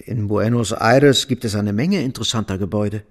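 An actor in a German radio drama playing a middle-aged architect, tired, businesslike, guarded and evasive. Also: male voice, fairly low pitch, a little fast, lightly acted. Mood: surprised, hesitant.

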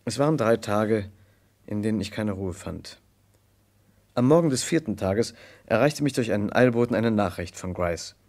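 An actor playing an earnest, troubled gentleman who narrates an old German radio crime play in a careful literary manner. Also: lowish voice, expressive. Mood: grave, narrating. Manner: measured, literary storytelling, hesitant in dialogue. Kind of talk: mid-20th-century German radio crime drama narration and acting.

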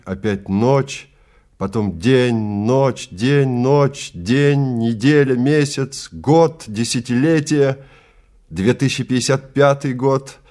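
A man narrating a science fiction story in a theatrical, deliberate style with weighty pauses. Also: mid-range voice, darkish tone. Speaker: narrator and reader of a dramatized story.

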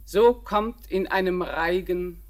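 An older actress playing a solemn, reminiscing grandmother in a radio drama, speaking in a measured, deliberate way. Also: lowish voice. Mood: solemn, wistful. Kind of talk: classical German radio drama acting.

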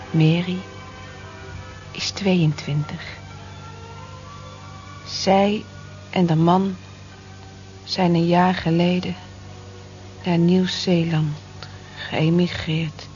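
A lonely housewife in her forties in an intimate radio drama. She speaks low and very slowly, soft and confiding, with gentle wistfulness. The old recording sounds muffled. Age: 40s. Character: lonely working-class housewife of forty-four, gentle, reflective, wistful. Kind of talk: naturalistic radio play acting, intimate monologue.

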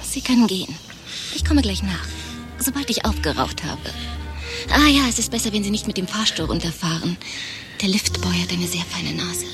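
A woman's voice: mid-range voice, normal speed, lightly acted. Teasing blonde office woman played by an actress, over music. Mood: cool, provocative. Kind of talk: German radio play acting.

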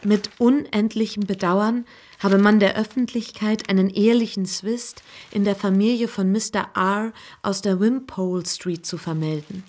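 Eine Frau spielt eine ernste, pflichtbewusste junge Frau. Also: female voice, mid-range voice, steady tone, normal speed, darkish tone, lightly acted. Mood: reading aloud. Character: earnest, dutiful young woman, modest and anxious. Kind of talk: radio drama acting.

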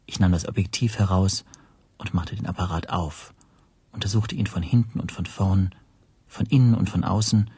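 A man playing the reflective narrator of a radio drama, telling his story soberly in a husky voice, measured and observant. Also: low-pitched, dark tone, no room sound. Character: reflective first-person narrator recalling his interrogation.

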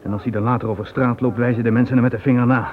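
A man's voice: medium pitch, level tone, very fast, lightly acted. A man in a radio play voicing a blunt, stubborn middle-aged tanner mourning his son. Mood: grim. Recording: tinny old radio recording.

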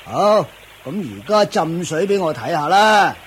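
Strict, self-important ascetic master, radio drama; high, animated delivery, lecturing. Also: male voice, a little hiss or hum. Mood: stern lecturing. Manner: lecturing, punctuated with drawn-out fillers and grunts. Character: pompous, strict Taoist-style master of a forest of ascetics. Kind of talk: Cantonese Buddhist radio drama acting.

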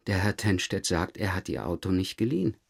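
A low male voice plays a police detective in a radio drama. He questions in a measured, insistent way, calm and dryly probing. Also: gravelly, husky, heavily acted. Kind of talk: radio drama interrogation dialogue.

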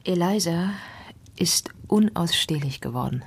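Husky-voiced, troubled gentleman's wife. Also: lowish voice, lively intonation, measured pace, exaggerated voice. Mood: agitated, bitter. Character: distressed, candid young wife of a gentleman. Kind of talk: German radio drama acting.

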